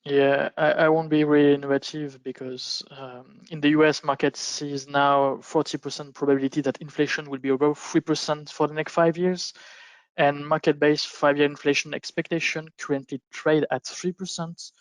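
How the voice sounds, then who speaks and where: A man speaking as an investment panelist, giving formal, measured, prepared-sounding explanations on a conference call. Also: a little high, little pitch movement, smooth, no room sound, poor audio. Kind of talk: prepared roundtable commentary on markets.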